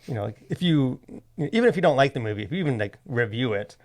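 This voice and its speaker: A man in a casual discussion as a podcast co-host, talking in a rambling, halting way full of filler phrases. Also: medium pitch, animated, darkish tone. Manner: rambling, halting, packed with "like" and "you know". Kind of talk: casual discussion of faith and culture.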